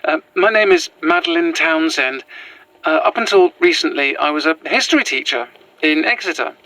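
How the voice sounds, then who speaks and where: Horror podcast lead: actress as earnest, nervous British woman on the run, bright-voiced, hesitant and apologetic, close dry sound. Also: low voice, expressive, normal speed, no room sound, rough recording. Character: anxious, earnest young woman, former history teacher on the run. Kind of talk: found-footage horror audio drama.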